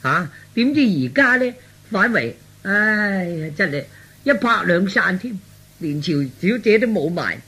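Husky, stammering, hapless young fellow. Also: male voice, sits high, expressive, measured pace, pushed and bright, heavily acted. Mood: dejected. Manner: stammering, repetitive, whiny. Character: hapless, flustered young man, a scheming reluctant fiancé. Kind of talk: Cantonese radio comedy acting.

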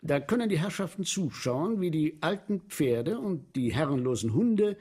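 An obsequious, macabre showman with a husky, fairly high voice. He is eager to please and theatrical, his pitch leaping about as he addresses his noble spectators in a radio play. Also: moderate pace. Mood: ingratiating. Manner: ingratiating, animated, quotes aristocrats grandly.

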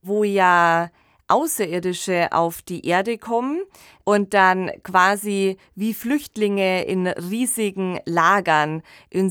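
A woman's voice: mid-range voice, fairly bright tone, no echo, studio quality. A woman, co-hosting a podcast and explaining things in a colloquial, conversational way. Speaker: podcast co-host. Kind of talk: conversational discussion of fiction and film.

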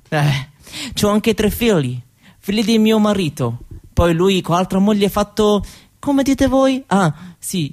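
A woman playing a cheerful, proud young newlywed in a stage monologue, speaking in broken grammar and searching for words. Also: mid-range voice. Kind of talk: theatrical monologue as if answering an interviewer.

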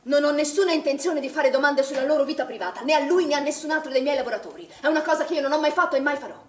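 High-pitched, indignant pool manager played by an actress. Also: female voice, racing, natural voice. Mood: defensive, indignant. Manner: firm, curt, protesting. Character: defensive, indignant woman managing a swimming pool. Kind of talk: theatrical stage drama dialogue. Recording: roomy echo, faint background noise.

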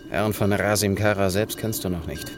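Radio drama: low, creaky-voiced male plotter, persuasive and cautioning, over audible noise. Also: steady tone. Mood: earnest, cautioning. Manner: measured, admonishing. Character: earnest, cautioning male conspirator, measured and persuasive. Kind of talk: German radio drama acting. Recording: noticeable background noise.

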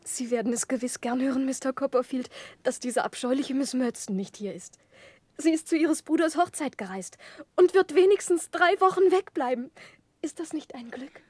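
A woman's voice: high voice, normal speed, a little mellow, slightly rough, no echo. An actress playing a sweet, pampered young lady with a coy, childlike manner in a 1960s German radio drama. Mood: pouting, confiding.

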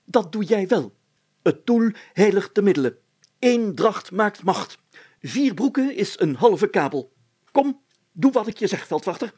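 A pompous village mayor from a storybook, acted out by a male reader. He is proud and bossy, with a raised, husky voice. His delivery is grand and commanding, as if every order were a decree. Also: sits high, big pitch swings, a little slow, darkish tone, voice pushed up, full character voice. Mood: angry, bossy.

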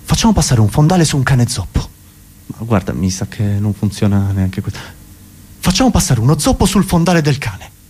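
A man in a comic monologue voicing earnest experimental theatre directors who pitch absurd ideas. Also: big pitch swings, fairly quick, darkish tone, slightly rough. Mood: eager, earnest.

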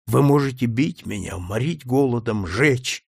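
A man voicing a calm, faithful male slave who speaks quietly and solemnly despite a beating. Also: medium pitch, normal speed, creaky, breathy edge, dry recording, studio quality. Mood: calm resolve.

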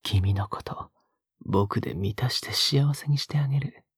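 A male actor voices a soft-spoken, possessive male captor in an intimate audio drama. His voice is extremely breathy, often sinking into a whisper. He coaxes slowly and with quiet control, recorded close and very dry. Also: fairly low pitch, no echo. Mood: coaxing, possessive. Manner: slow, coaxing, hushed. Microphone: close, dry studio mic.

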